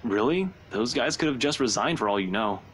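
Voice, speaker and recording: A man's voice: mid-range voice, animated, fairly quick, lightly acted. A man playing a cocky, defensive young man in a visual novel game, speaking in a wry, casual way. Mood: curious, puzzled. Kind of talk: voice-acted visual novel dialogue. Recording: clear background noise.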